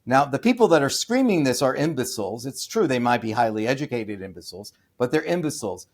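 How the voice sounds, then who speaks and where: An American man, a podcast guest and author, giving opinionated interview answers with many restarts and fillers, then bursting into loud, scornful emphasis. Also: medium pitch, big pitch swings, fast. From United States.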